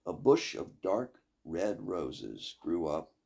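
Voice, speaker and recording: Older male storyteller: unhurried, very animated pitch, dramatic fairy-tale reading. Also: creaky. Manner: dramatic, deliberate. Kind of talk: expressive scripted fairy-tale narration.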